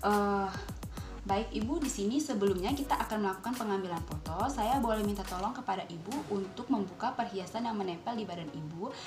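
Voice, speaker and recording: A woman's voice: mid-range voice, a little fast. A young adult woman giving a polite, step-by-step instructional demonstration as a student. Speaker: dental student demonstrating a clinical procedure. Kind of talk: instructional skills demonstration with role-played patient dialogue. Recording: roomy echo, music behind.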